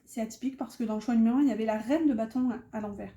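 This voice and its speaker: A woman with a very soft, dark-toned voice that sits a little high. She talks as a tarot reader guiding her viewers, improvising and feeling for her words, in a room with clear echo. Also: very fast. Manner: hesitant, searching for words, chatty asides. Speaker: tarot reader hosting an online guidance. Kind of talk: casual, improvised tarot reading addressed to viewers. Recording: roomy, echoey sound.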